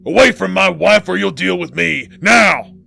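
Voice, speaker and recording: A man playing a protective, anguished farmer father in a horror story, forceful and strained. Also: sits high, expressive, moderate pace, creaky, soft background music, voice pushed up, exaggerated voice. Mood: commanding anger.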